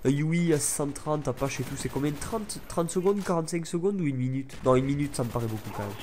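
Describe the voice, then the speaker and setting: A man's voice: a little high, expressive, fairly quick, darkish tone. Young guy, head cold, rambling gameplay commentary with faint noise under it. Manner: rambling, chatty, many restarts and fillers. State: stuffy head cold, sniffling. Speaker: gaming YouTuber.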